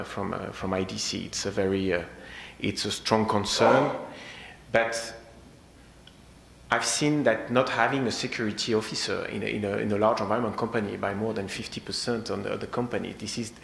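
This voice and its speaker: A man's voice: lowish voice, creaky, fairly bright tone. French-accented man speaking in an echoey hall. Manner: halting, many fillers and repeated words. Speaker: conference speaker. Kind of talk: conference presentation. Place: conference hall. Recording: echoey hall with faint noise.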